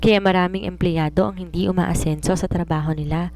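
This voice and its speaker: A confident woman writer in a story, played by a woman narrator, with a slightly low voice. She is earnest and preachy, explaining things in a self-assured, instructive way. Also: steady tone, brisk, darkish tone, lightly acted. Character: confident, preachy woman romance writer advising her maid. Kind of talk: audiobook character dialogue.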